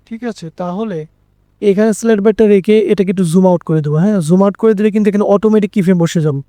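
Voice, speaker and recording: A man with a Bangladeshi accent walks listeners through an informal screen tutorial. His voice is quite high yet mellow, recorded very dry. He repeats phrases for emphasis and often checks that people follow. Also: fast talker, dry recording. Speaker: animation tutorial presenter.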